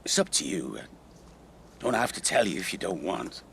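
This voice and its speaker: A man's voice: low voice, sing-song, normal speed, gravelly, a bit bright, a little hiss or hum, exaggerated voice. An actor playing a wistful middle-aged British working man, a folksy rambling storyteller in a naturalistic radio drama. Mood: grave. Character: wistful middle-aged local factory worker, old family friend.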